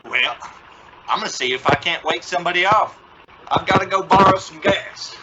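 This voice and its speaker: A man's voice: high voice, normal speed, muffled recording, natural voice. A man playing an exasperated, stammering father in an old-time radio family comedy. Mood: sheepish. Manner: stammering, hesitant, blustering. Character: flustered, exasperated, good-natured suburban father and husband. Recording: very noisy radio-play recording.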